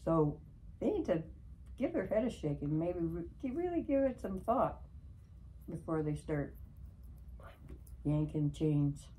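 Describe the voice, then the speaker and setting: Low, warm-voiced Canadian woman. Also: sing-song, takes it slowly, pauses often, slight background noise, poor audio. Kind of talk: casual political chat.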